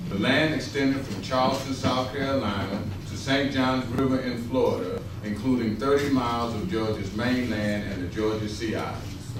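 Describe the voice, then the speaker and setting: A man reading scripted historical narration aloud as a narrator in a readers theater. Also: medium pitch. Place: stage rehearsal in a large echoing room. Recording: distant, echoey hall, clear noise.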